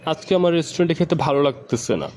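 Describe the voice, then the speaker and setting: A man's voice: a little high, quick pace, darkish tone, a little hiss or hum, lightly acted. A man playing a dutiful, affectionate schoolboy son in an amateur educational cartoon, polite and earnest. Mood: earnest, insistent.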